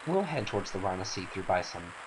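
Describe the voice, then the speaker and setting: A man's voice: deep voice, very animated, quick pace. Man acting an earnest, troubled young warrior. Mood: resolute. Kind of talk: dramatic reading of game cutscene dialogue. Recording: faint hiss.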